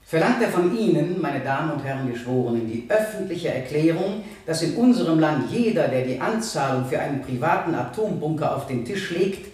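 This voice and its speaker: An actor in a radio play voicing a stern, impassioned male prosecutor who addresses a jury in formal, emphatic oratory with deliberate pauses. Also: fairly high pitch, expressive, a little mellow, natural voice. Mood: impassioned, accusing. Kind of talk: 1970 German radio play, courtroom oratory. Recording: echoey courtroom sound, distant from mic.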